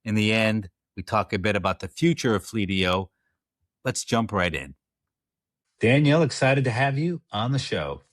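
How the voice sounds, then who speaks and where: Low-voiced upbeat male podcast host. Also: animated, normal speed. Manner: upbeat, joking questions. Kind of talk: casual podcast interview.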